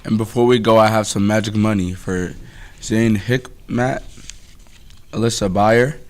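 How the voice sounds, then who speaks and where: Young man, slightly low voice, student announcer reading school notices from a script. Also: fairly even intonation, a little slow.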